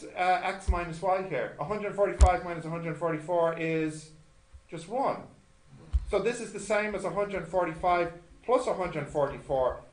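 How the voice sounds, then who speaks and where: A man tutoring maths informally, thinking aloud as he works sums, self-deprecating and often asking checking questions. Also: sits high. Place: indoor tutorial room with students. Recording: echoey room with noticeable noise.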